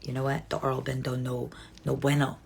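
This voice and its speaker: A woman's voice: rumbling low, level tone, fairly quick, vocal fry, darkish tone, slightly rough. A woman in her forties chatting casually with viewers on a live stream, rambling and correcting herself with frequent ums. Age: 40s. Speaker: livestream host.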